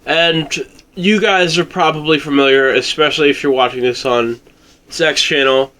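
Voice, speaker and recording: A man's voice: on the high side, steady tone, normal speed, pushed and bright. A man chatting casually as a discussion partner, agreeable and often brief in what he says. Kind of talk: casual chat.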